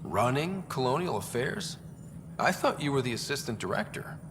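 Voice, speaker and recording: A man's voice: medium pitch, big pitch swings, normal speed, background noise. An actor playing an earnest young male space explorer in a video game, speaking directly and plainly. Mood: challenging. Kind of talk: scripted game dialogue.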